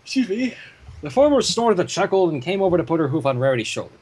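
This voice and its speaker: Husky, animated higher-pitched man reading aloud. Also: fast. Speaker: fan fiction reader. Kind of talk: animated story narration with joking asides.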